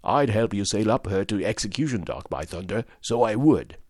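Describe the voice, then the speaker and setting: A man voicing a sly, wounded old pirate coxswain who grumbles in rough sailor's talk. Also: on the low side, lively intonation, moderate pace, darkish tone, no echo, clean studio sound. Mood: resigned. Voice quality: rough sailor's dialect, gruff.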